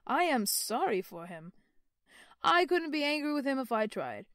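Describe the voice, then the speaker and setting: A woman reads a hearty, boisterous young man in a storybook, full of cheer and booming good humor. He sits fairly high in pitch, close and dry on the mic. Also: very animated, normal speed, fairly bright tone, dry recording, full character voice. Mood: hearty, jovial.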